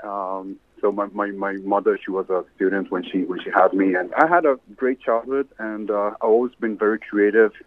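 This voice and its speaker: A man from Sweden, answering interview questions in a rambling, halting way with many restarts. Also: lowish voice, fairly even intonation. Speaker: interview guest, entrepreneur. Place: salad bar. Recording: tinny phone line.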